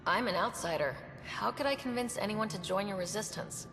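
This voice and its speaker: A woman's voice: mid-range voice, a little fast, fairly bright tone, slight background noise, natural voice. A woman playing a friendly, wry young human explorer in a video game, talking casually. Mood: sincere. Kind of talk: dramatic game dialogue. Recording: echoey hall, faint music behind.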